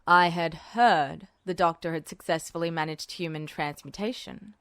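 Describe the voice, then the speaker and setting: A woman's voice: lowish voice, normal speed, fairly bright tone, smooth, no echo, pitched below the performer's own voice. A woman voicing a smug, composed male military officer in a podfic reading, cool and clipped with dry teasing.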